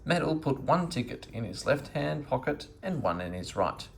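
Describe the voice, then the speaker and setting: A man narrating a children's storybook aloud in an expressive reading style. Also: mid-range voice, moderate pace, gravelly, slight background noise. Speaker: storybook reader.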